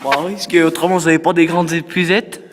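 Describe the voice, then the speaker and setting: A man voicing a stubborn seventy-year-old country angler on a prank call, halting, repeating himself and rambling insistently. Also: a little high, level tone, background noise, close to an everyday voice. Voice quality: halting, repetitive speech. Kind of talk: prank phone call in character. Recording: phone prank with long reverb.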